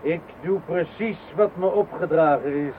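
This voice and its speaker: Male actor as a dim, rule-bound constable, slightly high voice; lo-fi tinny recording. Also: unhurried, a little hiss or hum, close to an everyday voice. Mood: stubborn. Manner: stiff, by-the-book. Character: stolid dull-witted male village policeman. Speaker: police constable at roadblock.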